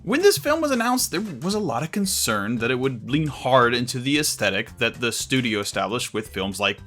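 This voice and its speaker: A man delivering scripted commentary as a video reviewer, in a measured, articulate way with emphatic phrasing. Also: mid-range voice, fairly bright tone.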